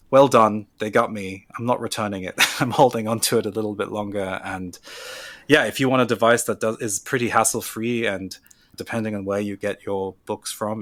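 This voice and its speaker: A man's voice: fairly low pitch, a bit bright, no room sound. A man co-hosting a podcast, chatting enthusiastically while giving a casual product recommendation. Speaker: podcast co-host. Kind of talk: casual chat.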